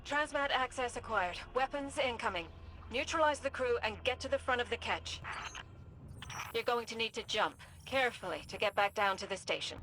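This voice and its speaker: A composed female mission commander, played by an actress in a sci-fi game, with a fairly high voice. She gives clipped, tactical instructions over clear ambient engine noise. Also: lively intonation, normal speed, natural voice. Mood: urgent. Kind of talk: scripted video game voice acting.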